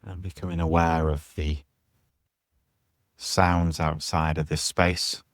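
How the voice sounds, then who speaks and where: A man with a very deep, evenly pitched voice leads a guided meditation. He speaks slowly and soothingly, in short phrases with space between them, as a yoga teacher instructing a relaxation practice. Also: little pitch movement, no room sound, studio quality.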